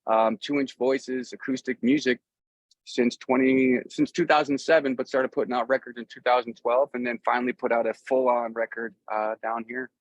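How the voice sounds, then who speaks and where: A middle-aged American man being interviewed as a musician, talking casually and earnestly in halting, rambling sentences with repeated phrases. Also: medium pitch, level tone, no echo, low-quality recording. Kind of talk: casual, rambling conversation about a music career.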